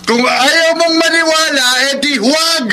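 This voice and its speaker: An actor with a Filipino accent playing a defensive, irritable, evasive cheating husband in a radio drama. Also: male voice, extremely high pitch, measured pace, very noisy. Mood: irritated. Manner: dismissive, blustering, evasive.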